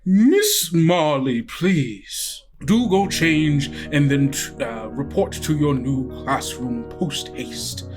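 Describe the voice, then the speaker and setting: A man's voice: on the high side, animated, takes it slowly, over music. A man voicing a large owl-man school principal in a tabletop game, formal and stern.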